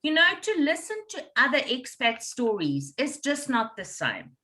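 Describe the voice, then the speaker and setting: A South African woman hosting a casual interview, chatty and lively and slipping into Afrikaans now and then. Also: sits high, normal speed. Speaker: interview host.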